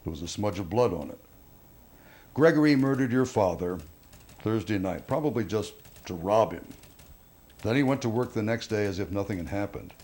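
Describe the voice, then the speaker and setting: An actor playing a police detective who presses suspects with measured, probing questions in a naturalistic film drama. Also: male voice, fairly low pitch, expressive, darkish tone, lightly acted. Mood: matter-of-fact.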